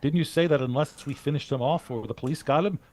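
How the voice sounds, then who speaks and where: An actor playing a cool, shrewd adventurer who leads a team of bodyguards in a vintage radio drama, decisive and wry. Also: male voice, on the high side, fast, darkish tone, close to an everyday voice.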